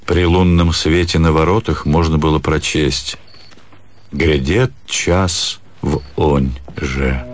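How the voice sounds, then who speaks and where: Male narrator, deep low voice, scripted literary storytelling, clear music behind. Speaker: narrator, actor reading a classic short story. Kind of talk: scripted literary narration for a radio audio play.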